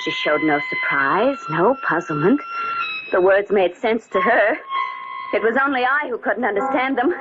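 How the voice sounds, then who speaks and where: A woman's voice: medium pitch, lively intonation, moderate pace, lightly acted. An actress playing a haunted, fearful invalid woman hiding her madness in 1940s radio suspense style, dramatic and given to confiding narration. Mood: brooding, uneasy. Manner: dramatic, swinging between brooding confiding and frantic pleading. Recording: noisy, muffled old 1940s radio recording.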